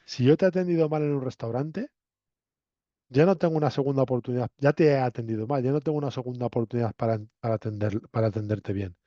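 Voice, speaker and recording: Mellow-voiced Castilian Spanish man lecturing, echoey room. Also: mid-range voice, fast. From Spain, La Mancha. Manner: didactic, conversational, frequent checking tag questions. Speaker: university lecturer. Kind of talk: interactive lecture to students. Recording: roomy echo, dull lo-fi sound.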